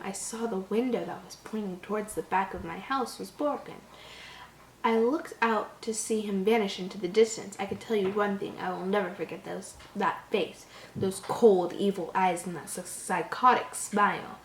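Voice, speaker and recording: A woman voicing a frightened young boy who recounts an attack in a hushed, dramatic storytelling manner. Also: mid-range voice, normal speed, recorded from a distance, a little hiss or hum, close to an everyday voice. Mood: hushed dread. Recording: echoey room.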